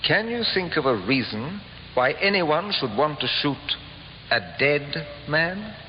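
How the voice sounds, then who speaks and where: Courteous, probing detective inspector, lively intonation, formal radio-drama style, echoing vintage recording. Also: male voice, on the high side, a little slow, highs cut off, a little hiss or hum. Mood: grave, puzzled. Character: courteous, shrewd male police inspector. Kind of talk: 1950s radio drama acting.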